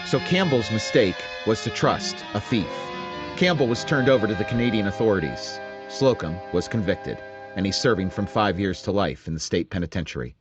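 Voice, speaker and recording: An actor playing a calm police detective who narrates his case in a terse, matter-of-fact radio drama style. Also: lowish voice, moderate pace, muffled recording, over music, close to an everyday voice.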